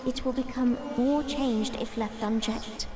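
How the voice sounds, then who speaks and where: A woman's voice: a little high, fairly even intonation, unhurried, close to an everyday voice. A woman playing a dying magical tree seed spirit in a fantasy audio drama, speaking in a formal, grave, earnest way.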